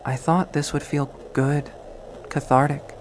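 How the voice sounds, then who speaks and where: A man reading a visual novel aloud as dramatic first-person narration, in a measured way. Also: a little high, level tone, poor audio. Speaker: game player reading aloud. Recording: faint background noise.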